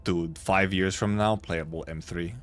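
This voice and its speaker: A man's voice: deep voice, steady tone, measured pace, soft background music. A man giving casual live reactions as he streams a game, dropping in short muttered asides.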